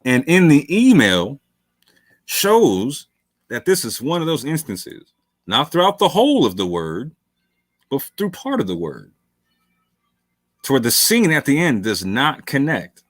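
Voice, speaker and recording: A man's voice: mid-range voice, wildly swooping pitch, a little slow, pauses often, dry recording, clean studio sound. A male talk show host giving long, emphatic commentary late in a livestream. He repeats phrases for stress, calls listeners fam, and sounds worn from hours on air.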